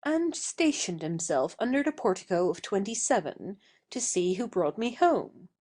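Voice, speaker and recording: A woman's voice: medium pitch, sing-song, normal speed, a little mellow. An actress playing a headstrong, passionate young woman in an audio stage satire, emphatic and exasperated in manner. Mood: defiant.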